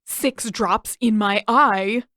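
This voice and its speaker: A woman's voice: medium pitch, slow pace, bright, forceful tone, no echo, clean studio sound. A woman voicing a grumbling, sarcastic teenage boy who whines and complains in an audiobook story. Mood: exasperated.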